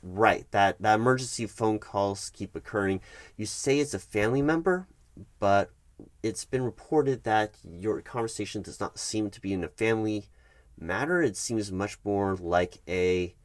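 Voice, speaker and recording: A man with a very low, dry, close-miked voice plays a corporate HR manager in an ASMR roleplay. He speaks softly and formally, with hesitant, measured phrasing. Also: no echo. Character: polite, evasive corporate HR recruiting manager. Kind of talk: soft-spoken ASMR roleplay, one-sided workplace meeting.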